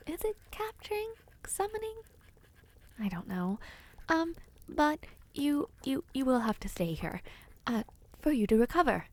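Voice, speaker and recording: A woman voicing a kindly, whimsical witch in an audio roleplay, talking to the listener in a gentle, theatrical, chatty way with airy hums. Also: medium pitch. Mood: flustered, apologetic. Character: kindly, whimsical, ancient witch, flustered caring hostess.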